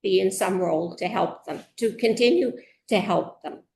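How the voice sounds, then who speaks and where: Older woman with a very creaky voice. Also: medium pitch, normal speed, mellow, a little hiss or hum. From Belmont, Massachusetts, US. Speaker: retired teacher, committee applicant. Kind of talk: prepared personal introduction at a public meeting. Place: indoor public meeting room.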